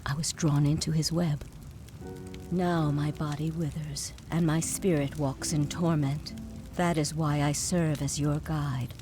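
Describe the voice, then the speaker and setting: An actress playing a tormented, remorseful spirit guide in a video game, speaking in a formal, measured, solemn way. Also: female voice, low-pitched, flat delivery. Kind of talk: dramatic video game voice acting. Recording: loud music behind. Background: game score music.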